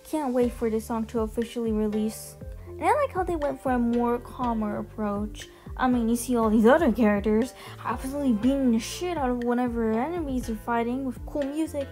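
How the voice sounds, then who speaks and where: A woman with a fairly high voice talks casually, as if running through a ranked list for viewers. She is informal and chatty and swears freely. Music plays faintly behind her. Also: lively intonation, brisk, dark tone. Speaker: video host ranking game character demos. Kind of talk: casual commentary over video clips. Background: background music.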